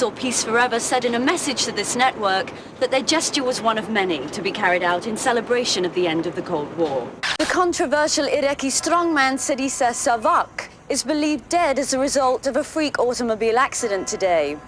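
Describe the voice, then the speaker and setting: A woman's voice: a little high, close to an everyday voice. A woman playing a TV news anchor, reading formal news bulletins in a game cutscene, with noise behind her. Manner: crisp, formal news delivery. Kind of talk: scripted TV newscast. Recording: noisy background behind voice.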